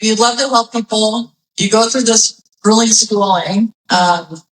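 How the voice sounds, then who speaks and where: A woman's voice: fairly high pitch, measured pace, recorded from a distance, rough recording. A woman hosting an interview show, chatty and playful, sometimes rambling through her questions. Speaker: podcast host. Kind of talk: casual interview.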